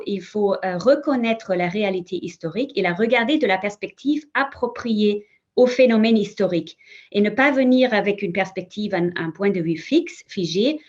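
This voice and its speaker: A woman speaks on a conference panel in French, which is not her first language, halting and restarting her sentences with frequent fillers. Her voice sits in a middle register. The sound is dull and lo-fi, like an online call. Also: mid-range voice. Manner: hesitant, many fillers and restarts. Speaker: museum representative on a panel. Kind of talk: conference talk, spoken off the cuff.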